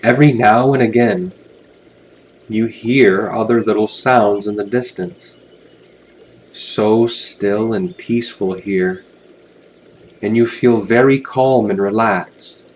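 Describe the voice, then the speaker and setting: A man with a fairly low voice guides a hypnosis session. He speaks very slowly and soothingly, leaving long pauses between gentle phrases. A steady wash of watery ambient noise runs behind him. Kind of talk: guided hypnosis relaxation narration.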